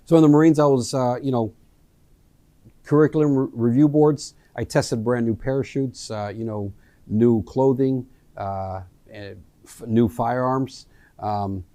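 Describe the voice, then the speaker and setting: A man in his forties from Miami, a retired Marine, introducing himself as a local election candidate in an earnest, halting way with many ums and restarts. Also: mid-range voice, dark tone, no echo. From Miami, USA. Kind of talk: candidate introduction, casual speech. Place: local access studio.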